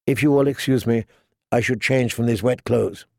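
A man reads as a sober noblewoman in a fantasy audiobook. The speech is measured and formal, with some gravelly creak and no room sound. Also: medium pitch, steady tone, darkish tone, no echo, studio quality, lightly acted. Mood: courteous, guarded. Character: composed, sober noblewoman, older sister. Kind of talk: audiobook character dialogue.

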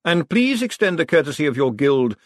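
Male narrator as commanding ruler, dry wit, measured delivery, authoritative, lively intonation, close dry audiobook sound. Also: a little high, dry recording, voice pushed up. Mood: cool, courteous. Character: commanding, dryly witty male ruler, coolly in control.

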